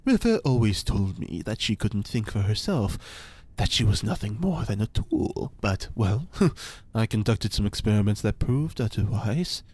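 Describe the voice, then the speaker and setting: An actor playing an eccentric, pompous ex-cult leader and scientist in an audio drama, speaking formally and precisely with dramatic pauses. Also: male voice, lowish voice, very animated, a little mellow, slightly rough, exaggerated voice. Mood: earnest. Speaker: fictional scientist being interviewed.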